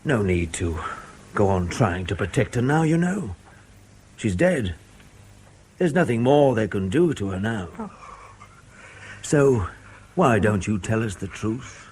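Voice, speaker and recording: An actor playing a blunt, sceptical intelligence interrogator in a radio drama, with a husky voice and a dry, insistent manner. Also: male voice, on the low side, expressive, slow pace, pauses often, darkish tone, a little hiss or hum. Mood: quiet persuasion.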